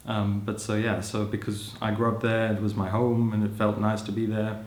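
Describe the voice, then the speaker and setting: A man in his thirties who grew up in Hong Kong, speaking as an interviewee in a thoughtful, musing way, often adding "you know" and soft laughs. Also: on the low side, completely flat delivery, normal speed. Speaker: interviewee, social anthropologist. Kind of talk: reflective personal account. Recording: roomy echo.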